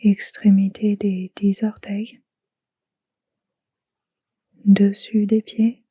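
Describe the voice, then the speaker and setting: A woman leads a guided relaxation in a soft, breathy voice. Her delivery is very slow and soothing, with short phrases and long pauses between them. The recording is close and dry, though a little muffled. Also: mid-range voice, level tone, dry recording. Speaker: yoga nidra guide.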